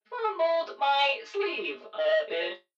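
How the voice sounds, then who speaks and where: A man voicing a shy old porcelain boy automaton magician, speaking slowly, gently and playfully. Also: extremely high pitch, wildly swooping pitch, dull audio, faint music behind, pitched above the performer's own voice, full character voice. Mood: playful gentle.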